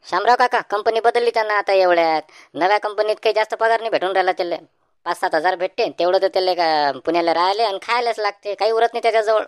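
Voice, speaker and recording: A young village man as acted by a woman who talks quickly in a rural Varhadi Marathi accent. He is wary and plain-spoken, with wry back-talk suited to a comic cartoon. The voice sits at a medium pitch in a dry recording. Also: clean tone, no echo, close to an everyday voice. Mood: evasive. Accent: Varhadi Marathi (Vidarbha).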